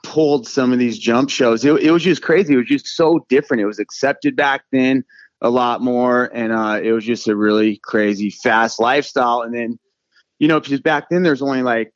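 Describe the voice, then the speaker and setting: A man's voice: medium pitch, normal speed, dry recording, lo-fi sound. A middle-aged man from California, a motocross rider talking loosely and rambling with lots of "like" and "you know". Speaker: freestyle motocross rider, guest. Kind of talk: casual podcast conversation.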